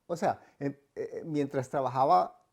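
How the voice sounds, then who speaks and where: A man's voice: medium pitch, a little slow. A middle-aged man, an architect, reflecting in an interview with many fillers and a touch of English. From El Salvador.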